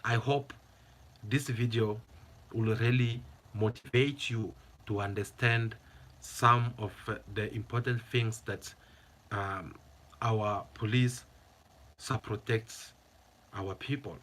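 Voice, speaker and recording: A man's voice: on the low side, fairly even intonation, takes it slowly, pauses often, a bit bright. A man hosting his own commentary channel, speaking English as a second language in a passionate, emphatic way and repeating phrases for stress. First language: not English. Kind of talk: opinionated vlog commentary.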